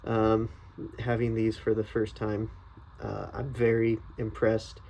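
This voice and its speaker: A man with noticeable vocal fry talks through a casual product review at a slow pace. He sounds tentative, working things out aloud as he goes. There is some background noise behind his voice. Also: lowish voice, monotone, darkish tone, rough recording. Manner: hesitant, thinking aloud, tentative.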